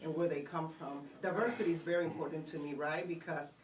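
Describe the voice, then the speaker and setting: A woman's voice: deep voice, fairly even intonation, fast talker, slight background noise. A woman from Puerto Rico with a Puerto Rican accent, speaking earnestly and encouragingly as a guest on a radio talk show, often checking in with "right?". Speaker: guest, network co-founder. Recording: roomy and distant from the mic.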